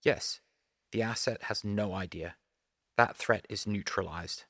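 A man's voice: lowish voice, unhurried, fairly bright tone, no echo, clean studio sound. A man voicing a formal, seething holographic executive with a clipped, legalistic manner in an audiobook. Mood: insistent, formal.

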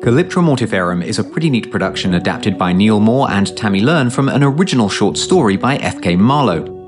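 A man's voice: fairly low pitch, animated, brisk, music in the background. A man giving a scripted announcement of production credits. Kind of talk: scripted narration.

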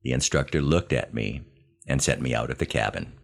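An American man in his seventies narrating scripted first-person memoir stories in a wry, plain-spoken, measured way. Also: rumbling low, creaky. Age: 70s. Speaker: podcast host telling his army memories.

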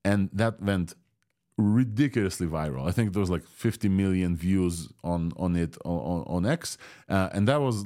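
A man's voice: deep voice, a little mellow. A man with a Russian accent, a native Russian speaker from Ukraine, hosting a casual, opinionated tech news podcast. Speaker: podcast host.

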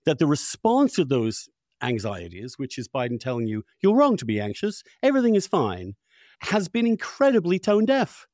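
A man's voice: a little high, huge pitch swings, normal speed, darkish tone, dry recording, studio quality. An older man hosting a conversational political discussion, emphatic and often correcting himself as he goes. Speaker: podcast host.

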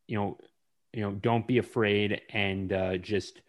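A man hosting a podcast interview, speaking haltingly with many pauses and fillers. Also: on the low side, fairly even intonation. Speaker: podcast host. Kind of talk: casual interview chat.

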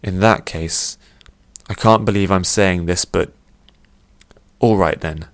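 A man's voice: low-pitched, takes it slowly, dry recording. Man doing a blunt, exasperated airship captain. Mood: grudging. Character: gruff, grumbling male airship captain, easily worked up. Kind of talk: audiobook character voice.

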